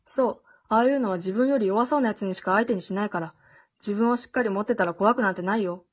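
Woman playing a blunt, husky-edged teenage girl. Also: mid-range voice, fast, close to an everyday voice. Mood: reassuring. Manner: dry, cool, sharp-tongued. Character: blunt, exasperated but protective teenage girl. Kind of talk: amateur short radio drama acting. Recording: dull, lo-fi audio.

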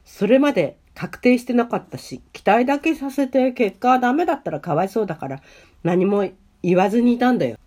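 A woman's voice: fairly high pitch, expressive, a little fast, a little mellow. A woman playing an easygoing, oblivious, kindly male schoolteacher in an amateur radio drama, with casual masculine speech. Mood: matter-of-fact, kind.

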